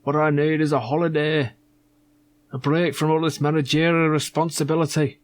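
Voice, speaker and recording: A man's voice: on the high side, little pitch movement, normal speed, pitched above the performer's own voice, close to an everyday voice. A man voicing a pompous, dismissive manager in an adventure game, haughty and grumbling.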